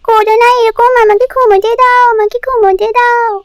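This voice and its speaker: A woman's voice: very high-pitched, steady tone, normal speed, dry recording. A woman voicing a stubborn, frightened little girl in a melodramatic doll-puppet cartoon, pleading and repeating phrases childishly. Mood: sleepy, annoyed. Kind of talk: melodramatic puppet-story voice acting.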